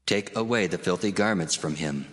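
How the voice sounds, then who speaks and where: A man's voice: low-pitched, normal speed, fairly bright tone. A man playing a stern, majestic heavenly figure, commanding and declamatory, in dramatized scripture. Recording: long hall reverb, faint music. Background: faint music.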